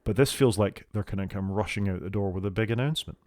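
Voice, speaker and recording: A low-pitched man with a Scottish accent chats about technology as a podcast co-host. His delivery is wry and measured, and his phrases often fade off quietly at the end. The sound is dry and close, with no room around it. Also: no room sound. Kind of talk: casual tech chat.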